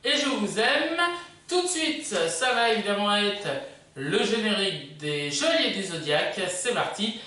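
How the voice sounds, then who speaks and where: A man's voice: sits high, lively intonation, unhurried, sharp tone. A man hosting a video channel, chatting casually and animatedly to viewers as he presents a countdown. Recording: echoey room, distant microphone.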